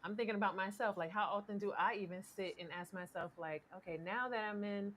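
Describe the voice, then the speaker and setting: A woman's voice: medium pitch, quick pace, fairly bright tone, slight background noise. A woman co-hosting a mindfulness talk show, reflecting in a rambling, thoughtful way with many restarts. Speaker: co-host. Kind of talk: casual conversational reflection.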